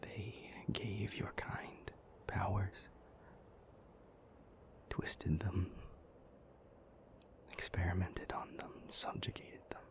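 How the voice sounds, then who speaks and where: A man playing an ancient, somber, thoughtful demon in an intimate audio roleplay, telling old myths in a breathy, hushed, halting voice with long pauses. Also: low-pitched, little pitch movement. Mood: solemn storytelling. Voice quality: breathy, whispery.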